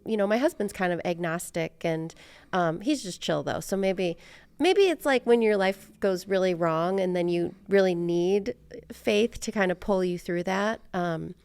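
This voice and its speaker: American woman podcast host with creaky voice. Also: on the low side, a little slow, darkish tone, dry recording. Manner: rambling, self-deprecating. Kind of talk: casual reflective conversation.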